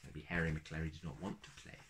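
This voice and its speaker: A man in his thirties reading a picture book aloud to young children in an expressive, deliberate storytelling manner. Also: extremely low pitch, a little hiss or hum. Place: small plain room indoors.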